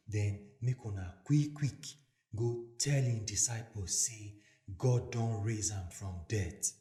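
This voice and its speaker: A Nigerian man voicing a calm, reassuring angel in a scripture story, soft and solemn. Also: fairly low pitch, pitched below the performer's own voice. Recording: echoey voice recording with music.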